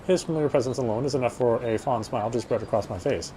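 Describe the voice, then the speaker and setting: A man reads visual novel narration aloud in a mellow, medium-pitched voice. He sometimes trips over a phrase and reads it again. There is faint noise and rumble. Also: fast, rough recording. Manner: stumbles and rereads phrases. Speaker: game player reading narration aloud.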